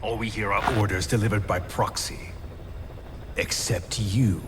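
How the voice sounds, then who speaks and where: Man voicing a sinister game villain, very deep, slow and brooding, noisy cutscene. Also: fairly even intonation, lightly acted. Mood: menacing. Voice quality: low, slow, gravelly menace. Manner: measured, brooding, interrogating. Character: sinister scheming male commander, cold and menacing. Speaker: villain interrogator. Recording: game cutscene with background noise.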